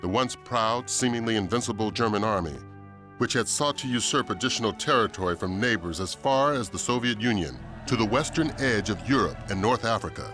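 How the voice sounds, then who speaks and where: Low-pitched man narrating war history. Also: expressive, fairly quick. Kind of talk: scripted documentary-style war narration. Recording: music behind.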